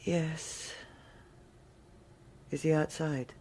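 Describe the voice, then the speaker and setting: An actress playing a stern, authoritative police superintendent who speaks in a measured, formal way. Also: female voice, sits low, fairly even intonation, dark tone, full character voice. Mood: briefing. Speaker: senior police officer. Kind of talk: radio crime drama acting.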